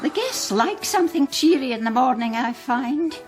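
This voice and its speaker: A woman's voice: high-pitched, lively intonation, normal speed, music in the background. An actress playing a chatty, fussing older mother who runs a guesthouse, in a radio drama with a Scottish accent. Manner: homely, talkative.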